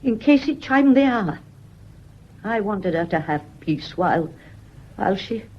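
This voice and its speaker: A woman acting in a radio drama as a devoted housemaid, deferential and mournful, with quietly pointed remarks. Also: medium pitch, expressive, unhurried. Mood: quietly insinuating. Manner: deferential, mournful, softly insinuating. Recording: dull, muffled lo-fi audio.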